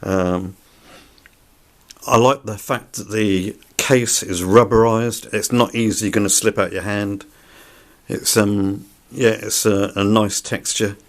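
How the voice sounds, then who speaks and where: A man's voice: on the low side, fairly even intonation, slow. A man giving an unscripted product review in a plain-spoken, somewhat hesitant way. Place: indoors.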